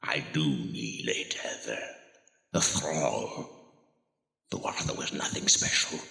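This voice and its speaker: A man playing a sinister, ancient drain creature in a horror radio drama, taunting and menacing in a deep gravelly voice. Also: sharp tone, exaggerated voice. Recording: cavernous echo with long reverb.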